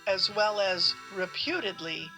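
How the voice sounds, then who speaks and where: A woman narrating a fantasy audio play in a measured storybook style. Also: mid-range voice, expressive, over music, low-quality recording. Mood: measured, wry.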